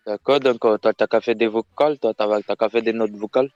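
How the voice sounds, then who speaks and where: A man's voice: fairly low pitch, steady tone, brisk, darkish tone, smooth, no echo, lo-fi sound, soft background music. A man scamming over the phone, answering in short, repetitive replies. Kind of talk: phone call.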